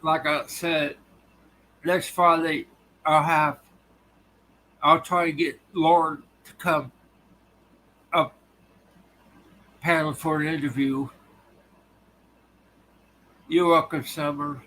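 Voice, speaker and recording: A man hosting a casual livestream, talking haltingly with a tired, dry voice. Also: fairly high pitch, little pitch movement, pushed and bright, a little hiss or hum, poor audio. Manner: halting, restarts often. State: voice tiring and dry. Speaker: livestream host. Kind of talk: casual live chat with viewers.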